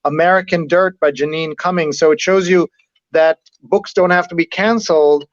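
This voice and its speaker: A man's voice: on the high side, fairly even intonation, moderate pace, no echo, dull audio. A man hosting a live read-along, chatting enthusiastically and hopping between asides as he reads out and comments. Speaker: host of a live newspaper read-along show.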